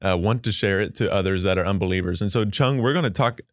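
Brief: male podcast host, lowish voice, dry room, earnest interviewer. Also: fast, no room sound. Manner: earnest, leads the questions. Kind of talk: casual podcast chat.

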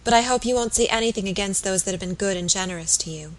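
An anxious young former lady's maid, voiced by a woman reader, speaks with eager deference. She sounds earnest and submissive, a storybook wife confiding carefully in a gentleman. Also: mid-range voice, quick pace, natural voice, rough recording. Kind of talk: audiobook character voice.

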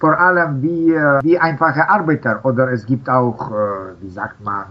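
The sound is a little dull and lo-fi. A man with a foreign, non-native accent asks interview questions at an average pitch. He speaks haltingly, hunting for words, and drops in brief acknowledgements. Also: fairly even intonation. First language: not German. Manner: halting, searching for words, frequent short acknowledgements. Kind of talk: casual interview conversation.

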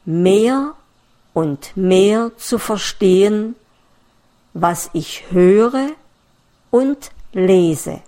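A woman reading a learner's manifesto aloud in a calm, measured, deliberate way. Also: mid-range voice. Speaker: reader.

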